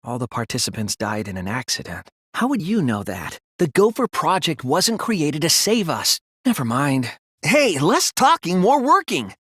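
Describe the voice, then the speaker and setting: A man voicing an earnest, anxious, thoughtful teenage student detective in a video game, with a hesitant, soft, slightly husky delivery. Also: on the high side, wildly swooping pitch, normal speed, dry recording, clean studio sound, heavily acted. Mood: serious, then playful scolding. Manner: hesitant, stammering when flustered, earnest. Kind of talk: English video game character voice acting.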